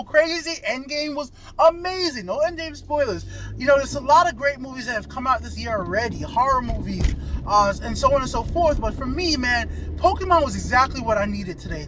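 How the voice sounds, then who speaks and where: A man giving a casual, enthusiastic, rambling movie review as a video reviewer. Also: very high voice. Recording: noisy ambience with vehicle sounds. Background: vehicle and ambient noise.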